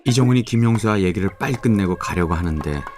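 A man narrating a radio drama in a steady, descriptive way. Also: lowish voice, quick pace. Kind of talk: scripted narration. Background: background music.

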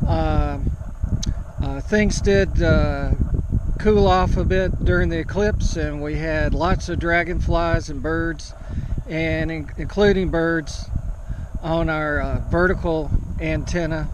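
An older man talks casually in an open field, his voice fairly high for a man. He goes slowly, hesitating often as he puts an unscripted report together. The outdoor camera audio carries some faint ambient noise. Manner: slow, halting, hesitant delivery with throat clearing. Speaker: amateur radio operator vlogging. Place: open grassy field outdoors, under a canopy. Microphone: action camera at a short distance.

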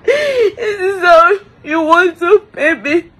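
A woman's voice: extremely high pitch, lively intonation, takes it slowly, pushed and bright. A distraught woman, crying and wailing through an emotional lament, repeating phrases over and over.

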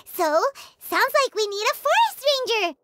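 A woman voicing a tiny, eager floating fairy companion in a squeaky, childlike anime game voice. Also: sing-song, unhurried, noisy background, exaggerated voice. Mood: helpful, musing. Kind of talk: anime-style game voice acting.

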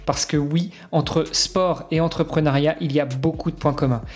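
Higher-pitched man hosting a podcast. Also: steady tone, moderate pace, faint music behind. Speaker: podcast host. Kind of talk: podcast intro narration and casual interview chat.

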